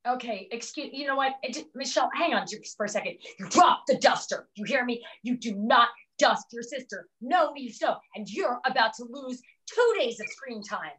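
A woman's voice: on the high side, lively intonation, normal speed, few pauses, fairly bright tone. An actress playing a strict, exasperated mother in a table read, stern and clipped, over a video call. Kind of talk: live script table read. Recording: distant video call microphone.

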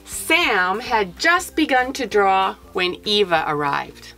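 A woman, a children's author presenting a picture book in an expressive, clearly enunciated storytelling way. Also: medium pitch, takes it slowly, over music, low-quality recording. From Canada.

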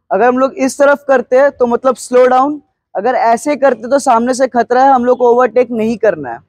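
A man's voice: very high voice, dry recording. A man, a ride marshal giving a group safety briefing and checking in often with the riders. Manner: instructive, checks in with "theek hai".

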